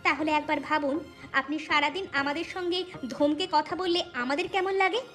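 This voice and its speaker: Woman as a high, quick young daughter-in-law. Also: a bit bright, faint music behind. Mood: indignant. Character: wronged young daughter-in-law, indignant, proud. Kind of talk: melodramatic cartoon story acting.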